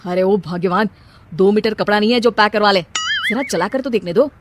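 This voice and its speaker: A woman's voice: mid-range voice, a little fast, noisy background, natural voice. A woman voicing a teasing young husband in a cartoon story, sarcastic and exasperated.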